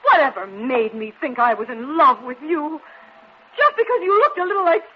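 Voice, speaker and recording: A woman's voice: sits high, big pitch swings, normal speed. An actress playing a wounded, lovelorn woman in an emotional 1940s radio melodrama style. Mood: indignant. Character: lovelorn, wounded femme fatale client. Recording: dull, noisy 1940s radio recording.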